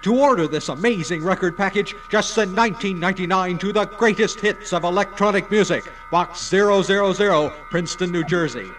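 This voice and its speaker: A man playing an earnest, emphatic pitchman in a satirical radio commercial. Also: brisk, few pauses, fairly bright tone, faint music behind. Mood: earnest hard sell. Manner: emphatic, sentimental hard sell. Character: excitable TV record-offer pitchman, comically earnest. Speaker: announcer. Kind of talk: parody commercial announcing.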